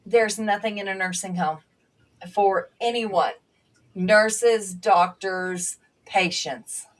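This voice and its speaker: A woman whose first language was Bulgarian, a panel guest and former nursing assistant, speaking earnestly and reflectively with halting restarts. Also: medium pitch, distant mic. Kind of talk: conversational panel talk.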